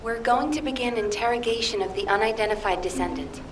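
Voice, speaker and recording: An actress plays a composed woman officer in a game, formal and controlled. The voice is fairly high and echoing, with clear music behind it. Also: quick pace, close to an everyday voice. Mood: firm. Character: composed female officer giving orders. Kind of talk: video game voice acting. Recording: roomy, distant, music behind.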